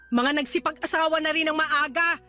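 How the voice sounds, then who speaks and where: A woman's voice: high-pitched, fairly even intonation, moderate pace, bright, forceful tone, faint music behind. A woman playing a stern, short-tempered Filipino grandmother who scolds and dismisses her grown daughter in a radio drama. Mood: exasperated scolding.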